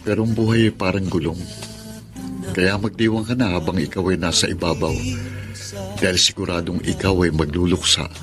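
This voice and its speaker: An actor playing Crispin, a wistful Filipino man pining for a taken woman, sentimental and given to florid sayings in radio drama style. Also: low voice, steady tone, a little fast, close to an everyday voice. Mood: wistful, philosophical. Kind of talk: Tagalog radio drama acting. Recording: background music under the voice.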